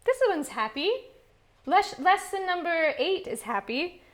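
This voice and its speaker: A young woman with a high voice chatting casually about her singing practice. She speaks at an unhurried pace and pokes fun at herself, laughing often. The talk is loose and friendly, like someone thinking out loud. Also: animated. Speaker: singer streaming vocal practice.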